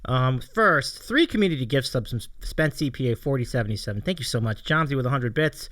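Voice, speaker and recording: Male livestream host, animated pitch, bright tone, dry close sound, enthusiastic, repeats phrases, conversational. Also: fairly quick, no echo. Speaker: live stream show host. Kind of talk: chatty livestream interview.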